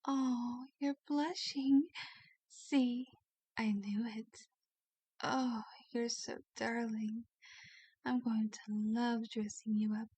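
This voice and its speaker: A woman playing a kindly, doting vampire lady in an ASMR roleplay, speaking gently with a genteel, coaxing manner. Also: fairly high pitch, fairly even intonation, takes it slowly, no echo. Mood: doting, pleased. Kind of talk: ASMR roleplay, soft scripted acting.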